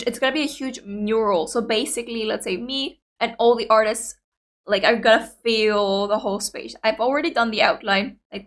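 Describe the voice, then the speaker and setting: A young woman whose first language is Greek, hosting a reaction video in an excitable, chatty way full of fillers. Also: on the high side. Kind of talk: casual reaction chat.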